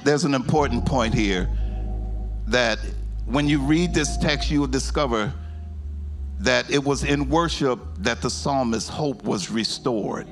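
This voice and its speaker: A middle-aged man preaching a sermon in a church sanctuary into a handheld mic, moving from slow low teaching to shouted, half-sung climaxes. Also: soft background music. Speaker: pastor.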